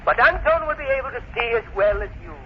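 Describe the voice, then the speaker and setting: Vengeful mad professor for a vintage radio thriller: very high, menacing, theatrical, on a noisy dull recording. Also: male voice, expressive, fast talker. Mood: cold menace. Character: crazed, gloating crippled professor villain, bent on revenge. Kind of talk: old-time radio thriller acting. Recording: noisy muffled old radio broadcast.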